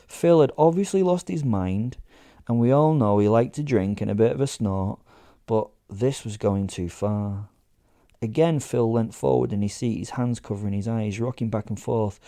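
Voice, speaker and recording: A man's voice: on the low side, moderate pace, warm tone, no echo, studio quality. A man narrating a first-person horror comedy story as a podcast host, with wry, conversational asides.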